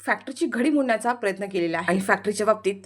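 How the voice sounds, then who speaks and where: A woman's voice: mid-range voice, rapid-fire, close to an everyday voice. A woman voicing a stern, strict middle-aged man who speaks curtly.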